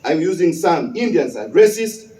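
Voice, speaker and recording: A man, preaching a Friday sermon as an imam. His voice sits high but sounds mellow, and he repeats his key phrases with emphasis. The mic is some distance away, so the sound carries through an echoing mosque hall. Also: big pitch swings, moderate pace. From West Africa.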